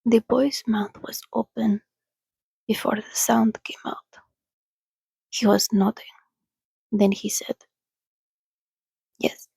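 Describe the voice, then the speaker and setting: Woman, soft-spoken ASMR host, soothing and often whispered, unhurried delivery with a creaky, close dry sound. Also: medium pitch, level tone, no echo. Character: calm, sociable female vampire soothing a companion.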